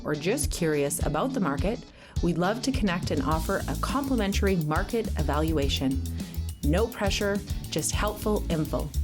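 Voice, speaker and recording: A woman giving a scripted promotional read as an advertising announcer, with music behind her. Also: lowish voice.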